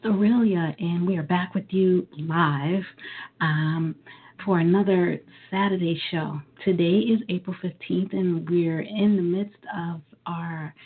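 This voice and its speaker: A woman hosts a live talk radio show in a slightly low voice that sounds a little hoarse. She chats easily and fills pauses with ums and uhs. Her voice comes through a tinny, phone-like line. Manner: warm, chatty, with frequent ums.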